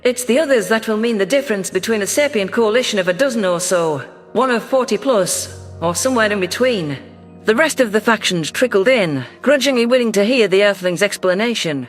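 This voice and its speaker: Guy narrating a sci-fi audiobook, kinda high voice, steady tone, faint music behind. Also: fairly quick. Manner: even storytelling delivery.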